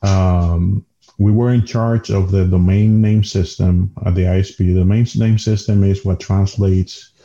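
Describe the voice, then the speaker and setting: A man with a Dominican Spanish accent talks casually as an interview guest. His voice is low and creaky with little swing in pitch, and he often restarts his phrases. The recording is a bit dull and lo-fi. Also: fairly even intonation, unhurried, dark tone. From Dominican Republic. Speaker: podcast guest, engineer.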